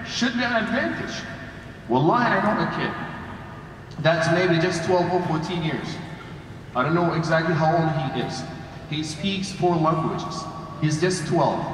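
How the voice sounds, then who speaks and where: A man with a high-pitched voice delivers an emphatic Friday sermon, repeating key phrases to drive them in. He grew up in Saudi Arabia speaking Arabic and Hausa as first languages. His words ring through a very echoey hall with long reverb and some faint noise behind. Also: a bit bright. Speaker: preacher. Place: large indoor mosque hall.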